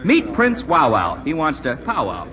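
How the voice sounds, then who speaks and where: An actor playing a brash, wisecracking young American fighter pilot, slangy and breezy, in a 1940s radio drama style. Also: male voice, on the high side, huge pitch swings, a little slow, a crowd in the background. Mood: joking, brisk. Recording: muffled, noisy vintage radio recording.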